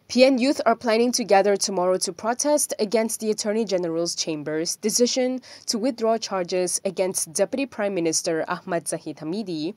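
A woman's voice: medium pitch, darkish tone, dry recording. Woman reading news in a dry studio. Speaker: news presenter. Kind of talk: scripted news voice-over. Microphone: studio microphone.

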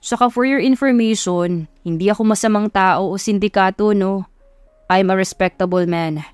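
A woman's voice: medium pitch, moderate pace, clean tone, dry recording, soft background music, natural voice. A woman voicing a cocky, possessive rich young man, boastful and bossy, in an audio love story. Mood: indignant, cocky.

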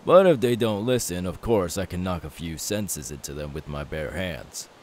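Casting: young pirate male performer, low-pitched, easygoing and reassuring, for intimate ASMR roleplay. Light hiss on the track. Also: lively intonation, moderate pace, a little mellow. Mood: confident, teasing. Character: easygoing young pirate man, kindly and self-assured. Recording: faint background hiss.

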